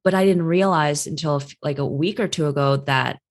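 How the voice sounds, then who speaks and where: A woman whose first language is Chinese, hosting a casual interview and telling stories in an animated way, with many "like" fillers. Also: low voice, brisk. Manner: animated storyteller, quotes people in lively voices. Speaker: host, career astrologer.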